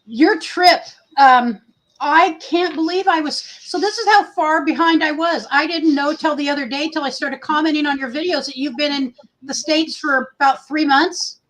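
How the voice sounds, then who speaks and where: A woman's voice: high-pitched, fairly bright tone, low-quality recording. A woman with a big voice hosting a casual livestream, rambling and correcting herself as she talks. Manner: rambling, self-correcting, many pauses. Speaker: host of a livestream.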